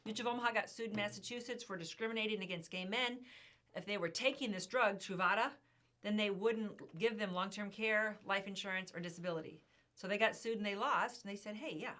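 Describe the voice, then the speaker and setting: A woman co-hosting an insurance news show, talking in a chatty, emphatic way with a slightly hoarse voice. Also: mid-range voice, fairly quick, bright, forceful tone. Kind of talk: casual chat.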